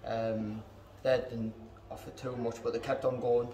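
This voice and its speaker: A man's voice: mid-range voice, level tone, a little slow, dark tone, a little hiss or hum. A young man, a football team captain, answering post-match questions in a plain, matter-of-fact way. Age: young adult. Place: indoors in front of a club sponsor backdrop. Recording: echoey room, mic at a distance.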